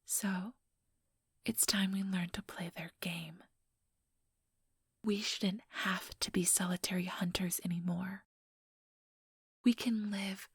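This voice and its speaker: A very breathy, whispering female vampire acted by a woman in an ASMR role-play. She speaks slowly and condescendingly, mock-sweet on the surface and coldly menacing beneath. The sound is close and dry. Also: medium pitch, dry recording. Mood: resolute. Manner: slow, taunting, mock-sweet. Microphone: close, dry microphone.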